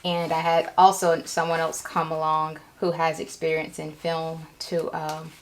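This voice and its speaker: A woman records a candid personal vlog in an echoey room. Her voice sits a little low with noticeable fry. She talks informally and haltingly, like someone thinking aloud. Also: completely flat delivery. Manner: halting, informal, acts out quoted remarks. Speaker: vlogger, writer and web series creator.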